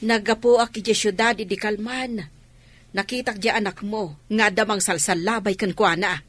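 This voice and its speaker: A woman's voice: mid-range voice, fairly even intonation, moderate pace, fairly bright tone, no room sound, lightly acted. An actress playing a nosy older village woman in a radio drama, with an Ilocano accent. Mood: gossiping.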